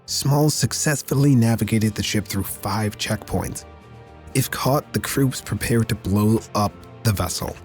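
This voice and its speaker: A man hosting a podcast, reading history segments and then chatting casually, his voice raspy and a little squeaky from illness. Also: measured pace, faint music behind. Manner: steady factual reading. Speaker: podcast host.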